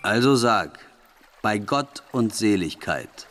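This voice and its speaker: An actor playing a rough, bullying thirteen-year-old gang leader in a radio play, curt and challenging. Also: male voice, on the low side, lively intonation, slow, a bit bright, in a large hall, soft background music. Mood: menacing.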